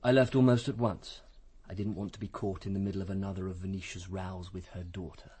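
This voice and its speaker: A man's voice: low voice, little pitch movement, brisk, mellow, husky. An actor playing a defensive English male politician, curt and evasive, in a radio crime drama.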